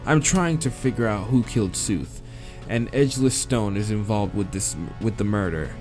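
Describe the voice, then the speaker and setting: A man's voice: on the low side, expressive, normal speed, a little mellow, soft background music, lightly acted. A man voicing a blunt, impatient human man, the casual-spoken protagonist of a visual novel.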